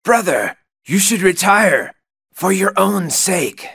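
A man's voice: sits high, sing-song, slow pace, gravelly, fairly bright tone, breathy edge, exaggerated voice. A man playing an arrogant, cruel young knight in a video game, mocking and theatrical. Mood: mocking menace. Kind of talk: video game villain acting.

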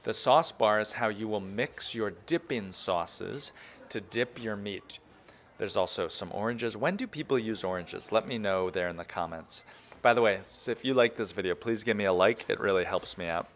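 A man's voice: on the low side, normal speed, fairly bright tone. A chatty, enthusiastic man presents a casual food tour in the manner of a video blogger. He talks straight to viewers, with noticeable ambient noise around his voice. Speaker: food review vlogger. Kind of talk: guided restaurant tour, casual review.

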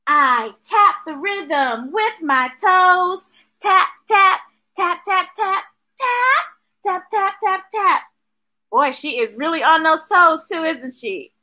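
A woman's voice: extremely high pitch, takes it slowly. A middle-aged American woman hosting children's story time, reading a picture book expressively with playful, rhythmic delivery and chatty asides. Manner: expressive, playful, chanting repeated words rhythmically. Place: small indoor room with shelves of books. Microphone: webcam or device at arm's length.

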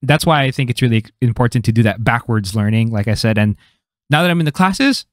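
A man's voice: mid-range voice, lively intonation, a little fast, no echo. A young man with a slight Filipino accent, hosting a casual podcast chat from a small home room into a studio mic, reflective and loose with lots of fillers. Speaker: podcast host. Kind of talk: casual conversation. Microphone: studio mic on stand.

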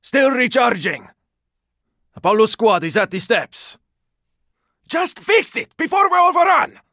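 A gruff, weary squad fighter from a zombie video game. His voice is raspy and full of gravel, with lively swings in pitch. He talks in short, urgent bursts at an unhurried pace, with a sardonic edge. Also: dry recording, clean studio sound, heavily acted. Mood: urgent, shouting. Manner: terse, urgent, swearing. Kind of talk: video game character combat barks.

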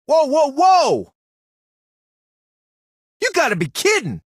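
Cocky young video game brawler, boastful and hyped, high voice, very lively swings. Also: male voice, slow, no echo, studio quality, full character voice. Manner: boastful, hyped, trash-talking. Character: brash cocky young male rocket-launcher brawler.

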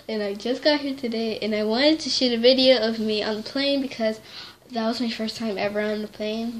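A woman's voice: fairly high pitch, slight background noise. A young teen girl chatting casually to her camera in a small dim bedroom, rambling and correcting herself as she goes. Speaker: vlogger. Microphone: device camera held close.

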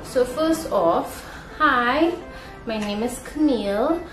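A woman's voice: fairly high pitch, animated, slow. A young woman with a Trinidadian accent, chatting informally to camera as a vlogger. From Trinidad, born in Guyana. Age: young adult. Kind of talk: casual talking-to-camera vlog. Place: indoor room at home. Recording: roomy echo, music behind. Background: background music.

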